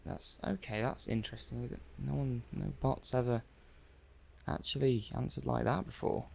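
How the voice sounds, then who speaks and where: A man playing a hesitant, curious robot technician in an ASMR roleplay, halting and musing aloud. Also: medium pitch, creaky. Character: hesitant, curious male robot technician running software tests. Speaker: audio roleplay performer. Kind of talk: soft-paced ASMR roleplay monologue.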